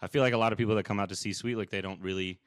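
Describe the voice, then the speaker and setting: A young male podcast host with a fairly low voice that comes across smooth and clean. He talks loosely, circling back with fillers and restarts. The recording is close and dry, with no room sound. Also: steady tone, racing, dry recording. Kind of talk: casual interview chat.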